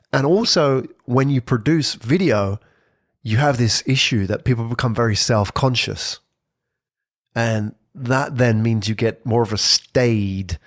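A man hosts his own podcast and talks through ideas one point at a time. His voice sits in a middle pitch and comes across close and dry, with no room around it. His delivery is relaxed and conversational, and he often hedges with "sort of". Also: dry recording. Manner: explanatory, lays out points step by step. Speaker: podcast host. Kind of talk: solo podcast commentary.

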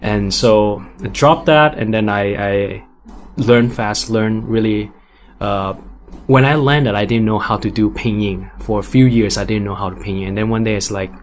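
Hong kong guy with an american-sounding accent, animated, keeps restarting, faint noise behind. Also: on the low side, normal speed. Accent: American-sounding English. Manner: animated, with frequent restarts and repetitions. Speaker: guest entrepreneur. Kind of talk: casual panel discussion.

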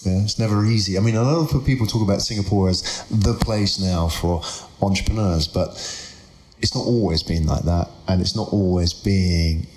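Low-voiced male podcast host, roomy sound. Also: moderate pace. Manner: relaxed, curious, asks follow-up questions. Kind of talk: conversational interview. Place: around a table in a room. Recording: roomy echo.

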